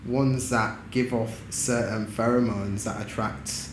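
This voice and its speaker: A man's voice: fairly low pitch, takes it slowly. A man from Huddersfield, chatting casually as an interview guest with lots of fillers. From Huddersfield, England. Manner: relaxed, rambling, lots of fillers and "do you know what I mean". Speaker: photographer guest. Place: studio room. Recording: echoey room with clear background noise.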